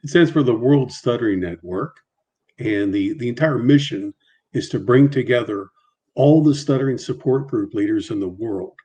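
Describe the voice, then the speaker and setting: Man who stutters, halting speech. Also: mid-range voice, warm tone. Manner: halting, frequent repetitions and restarts, mild stutter. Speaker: guest. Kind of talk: casual interview on a video call.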